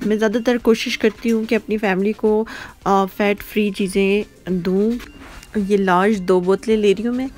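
A woman's voice: mid-range voice, darkish tone. A woman from Pakistan casually narrating a grocery shopping vlog as she walks the aisles, pointing out items to viewers. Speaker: vlogger. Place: supermarket aisles and streets in the UK.

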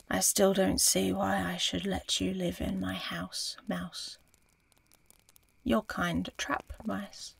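A woman voicing an aloof, curious, teasing female dragon in a fantasy ASMR roleplay, breathy and often whispering, slow and musing. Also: mid-range voice, full character voice. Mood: curious, amused. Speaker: audio roleplay performer.

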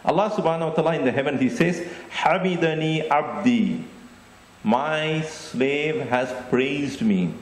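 A middle-aged man giving a deliberate, explanatory Islamic lecture into a handheld microphone, often repeating phrases. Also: a little high. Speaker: Islamic preacher. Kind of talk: religious lecture. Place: indoor stage with curtain backdrop. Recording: echoey room.

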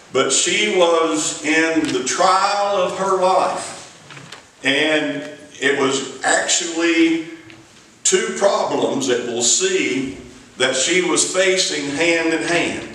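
A man's voice: fairly high pitch, sharp tone. A middle-aged man with a Southern US accent, an evangelist preaching an evening church sermon in a folksy storytelling way, stretching out his phrases, recorded in a small church sanctuary from a distance. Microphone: pulpit mic from a distant camera. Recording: echoey church room, mic at a distance.

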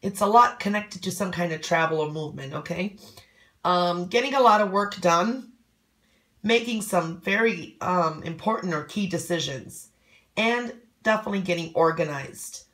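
A hoarse woman giving a casual fortune reading from coffee grounds, loose and chatty with many ums. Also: lowish voice, moderate pace, fairly bright tone. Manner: loose and conversational, many "um"s and "okay?"s. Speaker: coffee cup reader.

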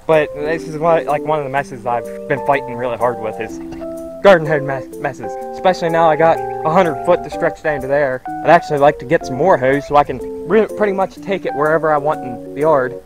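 A man's voice: fairly high pitch, fairly quick, over music. Folksy man rambling through his backyard. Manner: rambling, folksy, thinks aloud. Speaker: homesteading vlogger. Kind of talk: casual walk-and-talk vlog. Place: outdoors in a backyard farm.

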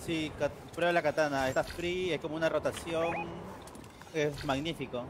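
A man from Peru with a Peruvian Spanish accent, chatting casually over voice chat while playing, explaining things calmly. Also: on the high side, moderate pace, noisy background. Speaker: guest player.

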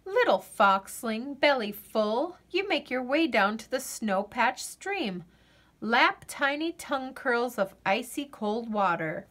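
A woman reading a children's picture book aloud as a storyteller, with gentle, expressive delivery and deliberate dramatic pauses. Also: a little high.

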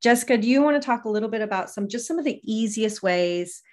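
A woman's voice: on the high side, animated, fast talker, a little mellow. A woman in her thirties moderating an online panel discussion in a casual, conversational way over a video call. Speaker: panel host. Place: indoor room on a video call.

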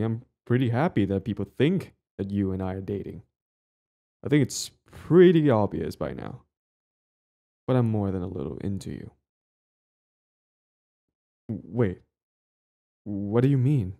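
A possessive young bully who is hopelessly lovestruck played by a man for an intimate audio roleplay. His voice sits fairly low with a dark, relaxed tone. He comes across as clingy and earnest, tripping over words as he goes. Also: measured pace, creaky, pauses often, exaggerated voice. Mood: hopeful, flustered.

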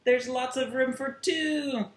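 A man in his thirties reading a picture book aloud to young children, expressively, with drawn-out words, recorded indoors at a little distance from the mic. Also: very high-pitched, steady tone. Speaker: children's museum storyteller. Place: small furnished room at home, indoors. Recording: roomy sound with faint background noise.

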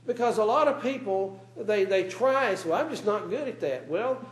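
A man's voice: high-pitched, expressive, moderate pace. A man preaching a sermon in a church, speaking earnestly and asking his congregation rhetorical questions. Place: church meeting room. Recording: roomy church echo.

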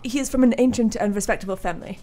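A woman's voice: on the high side, very fast, warm tone, natural voice. A woman playing a firm, businesslike asylum doctor in a radio play. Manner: clinical, businesslike.

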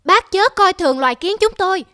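Plucky high-pitched tiny ant. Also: female voice, animated, a little fast, bright, forceful tone, no room sound, studio quality, full character voice. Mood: plucky, calm. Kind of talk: children's cartoon dubbing.

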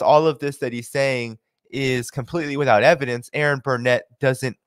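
Young male commentator, studio mic, dry room, animated with lots of uhs. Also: mid-range voice, no room sound. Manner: animated, gesturing, many fillers. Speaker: host and commentator. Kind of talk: commentary on video clips. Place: small home office. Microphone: studio mic with headphones.

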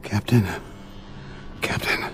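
Man acting a weak, slow, dazed crewman. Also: noisy background, close to an everyday voice. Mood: dazed, struggling. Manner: halting, broken phrases. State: weak, exhausted. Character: weak, dying male crewman, dazed and gasping. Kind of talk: radio drama acting.

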